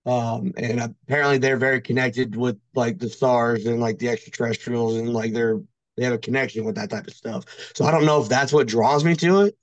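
A man's voice: medium pitch, steady tone, a little fast, no room sound, muffled recording. A man chatting casually as a podcast guest, telling personal stories in a rambling, hesitant way peppered with "like". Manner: rambling, heavy use of "like", hesitant. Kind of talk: casual chat, personal storytelling.

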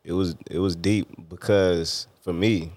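A man hosts a casual interview, easygoing and encouraging, with halting restarts. His voice sits very low, and the room sound is dry and close. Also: fairly even intonation, a little mellow, no room sound. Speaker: interview host.

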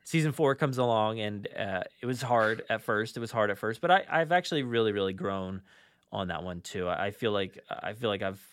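A man's voice: lowish voice, expressive, fairly quick, a bit bright, no echo. A man hosting a podcast, chatting casually and enthusiastically, animatedly acting out his own reactions. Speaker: podcast host.

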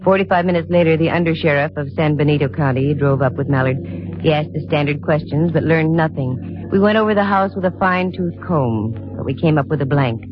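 Actress as a cool, low-voiced lady detective. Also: fairly quick, natural voice. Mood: wry narrating. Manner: wry, matter-of-fact. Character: cool, shrewd female private detective narrating her case. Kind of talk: 1950s radio detective acting and narration. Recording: dull old radio recording with music.

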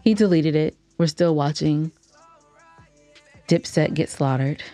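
A woman's voice: sits low, unhurried, dark tone, faint music behind. A woman co-hosting a casual podcast, telling stories in a giggly, teasing way. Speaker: podcast co-host. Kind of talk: casual teasing banter and storytelling.

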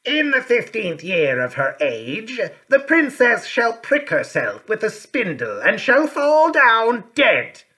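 A man voicing a vengeful female fairy in a storybook, loudly proclaiming a curse with drawn-out menace. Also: very high-pitched, wildly swooping pitch, voice pushed up, heavily acted. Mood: vengeful, menacing.

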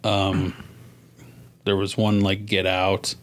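A man's voice: low voice, completely flat delivery, slow. A man co-hosting a podcast, chatting casually with wisecracks and anecdotes in an offhand, mildly profane way. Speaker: podcast co-host.

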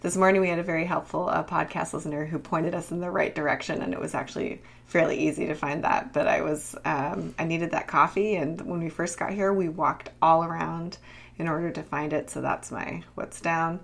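A woman in her twenties co-hosts a casual podcast, talking easily with frequent laughs and fillers. Her voice sits a little low. She records from a rented apartment. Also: a little fast, creaky. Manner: laughs often, relaxed, fillers. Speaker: podcast co-host.

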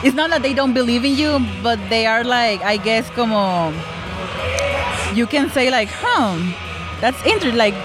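A woman's voice: on the high side, lively intonation, unhurried, music in the background, rough recording. A young woman from Mexico, answering interview questions about her film club in a hesitant way with many fillers. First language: Spanish. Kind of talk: casual interview.

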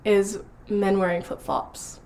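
A woman's voice: medium pitch, flat delivery, takes it slowly, darkish tone. A young woman co-hosting a casual podcast, giggly and easygoing, still getting over being sick. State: recovering from illness. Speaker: podcast co-host. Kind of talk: casual chat.

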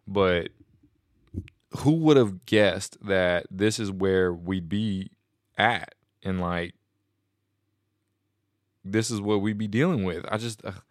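A man hosting a casual solo podcast, excited and rambling, a little nasal and sniffly from a cold. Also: deep voice, takes it slowly, pauses often, no echo, studio quality. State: congested from a stomach bug. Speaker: podcast host.

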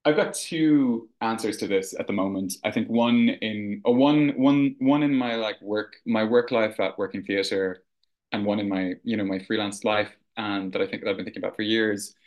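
A man with a fairly low voice talks as a guest on a theater podcast. He is earnest and reflective, and his sentences often restart with "you know.". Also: moderate pace, darkish tone. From rural Ireland. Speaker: artistic director, guest. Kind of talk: conversational podcast interview.

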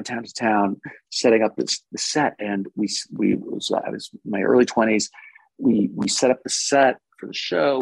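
Gravelly, husky man in a thoughtful conversation. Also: fairly low pitch, dry recording, rough recording. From France. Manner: halting, thoughtful, often chuckling. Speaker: interview guest, singer-songwriter and actor. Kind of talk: casual conversational interview.

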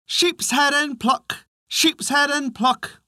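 Narrator putting on a high, bawling simple little boy. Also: slow pace, no echo, studio quality, full character voice. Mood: singsong chanting. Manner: loud, singsong, repetitive chanting. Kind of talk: storybook folk tale character.

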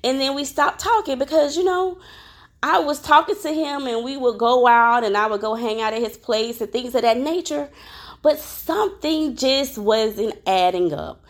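A woman's voice: sits high, moderate pace. A woman, hosting her own podcast in a chatty, upbeat way, with a slightly crackly, worn voice. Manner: chatty and upbeat, addresses listeners directly, many fillers. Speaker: podcast host. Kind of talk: casual solo podcast chat.

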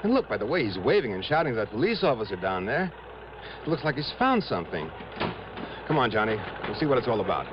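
A male actor plays an earnest, emphatic man in a vintage radio drama, laying out his case. His pitch swings freely. The sound is muffled, with loud rumbling noise behind him. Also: sing-song, fast talker, close to an everyday voice. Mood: alert. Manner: emphatic, insistent, explaining. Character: earnest associate briefing a detective on a suspicion. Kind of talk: 1950s-60s radio drama acting.

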